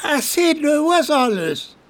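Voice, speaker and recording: A man putting on a high-pitched drunk rogue. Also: sing-song, slow pace, exaggerated voice. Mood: tipsy, cheeky. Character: drunk Irish petty crook, middle-aged man, cheeky and wheedling.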